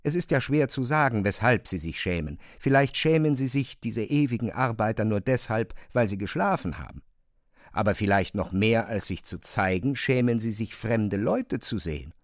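A man's voice: on the low side, animated, normal speed, no room sound, studio quality. A man reads scripted literary narration for a radio drama.

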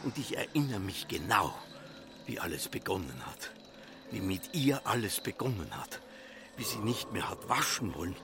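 A brooding, obsessed Austrian farmer. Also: male voice, fairly low pitch, sing-song, normal speed, background noise. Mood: rapt musing. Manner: brooding, incantatory, repetitive. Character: obsessed, embittered farmer father, a tinkering dreamer. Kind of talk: literary radio drama acting.

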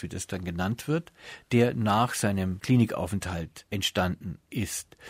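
A man interviewing a guest in a conversational cultural radio style, asking measured, inquisitive questions. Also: medium pitch, darkish tone, dry recording.

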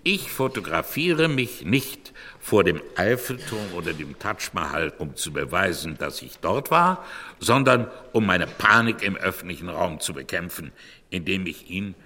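A man's voice: low-pitched, sing-song, a little slow, pushed and bright, full character voice. An actor playing an earnest male cultural critic who delivers a bleak monologue in a rhetorical, emphatic way, as in a radio drama. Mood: earnest, urgent. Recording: long hall reverb.

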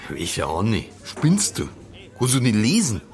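An actor playing a drunken, brooding village pub philosopher with a Bavarian accent and a ponderous, gruff manner. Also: male voice, lowish voice, wildly swooping pitch, a little slow, darkish tone, exaggerated voice. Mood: grumpy, insistent. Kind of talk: audio drama acting in dialect.

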